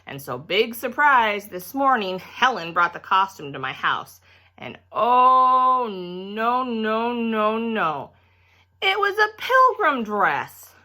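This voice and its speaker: An indignant little girl, played by a woman, tells a storybook tale in a bright, forceful voice. She sulks and stresses her words with childish drama. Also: a little high, big pitch swings, slow, voice pushed up, full character voice. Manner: emphatic, sulky, childlike phrasing. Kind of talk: children's storybook read-aloud in character.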